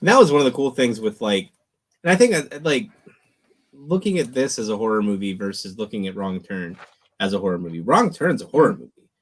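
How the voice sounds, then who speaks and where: A young man co-hosting a casual movie chat, animated and sarcastic. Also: lowish voice, a little fast. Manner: animated, sarcastic, mocking voices. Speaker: podcast co-host.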